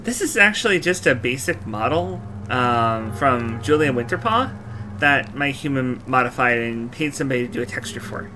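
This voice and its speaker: A man's voice: mid-range voice, animated, moderate pace. A man chatting casually as he comments on a game live stream. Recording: music behind the voice. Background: game music.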